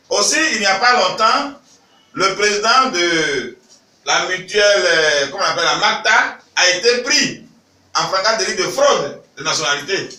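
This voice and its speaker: A man's voice: on the high side, far from the mic, a little hiss or hum. A man from Ivory Coast speaking at a press conference, emphatic and raising his voice to stress points. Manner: emphatic, rising to shouts when stressing points. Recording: echoey room, voice from off-mic.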